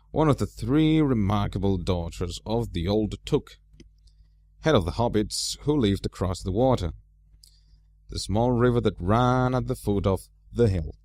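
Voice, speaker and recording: Animated lowish-voiced man reading a storybook. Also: a little slow, no echo. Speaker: amateur book narrator. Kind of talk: storybook read-aloud.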